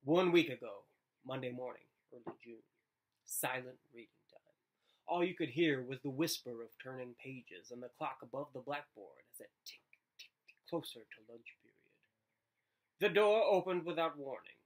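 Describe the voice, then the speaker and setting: A man in his thirties narrating a children's book aloud in an expressive read-aloud style. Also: medium pitch, moderate pace, poor audio. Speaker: storybook reader. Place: living room, indoors.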